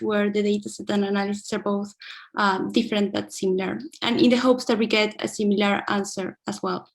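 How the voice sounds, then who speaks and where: A young woman from Argentina giving an academic keynote talk, careful and thoughtful, with frequent fillers and restarts. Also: on the high side. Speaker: invited keynote speaker.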